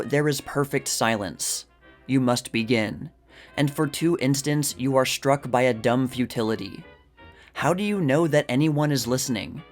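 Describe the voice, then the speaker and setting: Male narrator, medium pitch, measured and formal literary read of scripted text, music bed underneath. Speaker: narrator of a history channel. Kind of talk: scripted narration, reading an old magazine article. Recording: music behind the voice.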